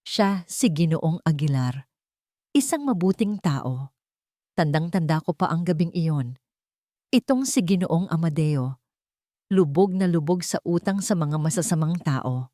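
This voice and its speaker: A woman narrator plays a kindly old Filipino man in an audio love story. He reminisces gravely about days gone by, in a dry, close recording. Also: low-pitched, expressive, unhurried, pauses often, warm tone, dry recording. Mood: grave, reminiscing. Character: kindly old man, former casino guard, recalling the past.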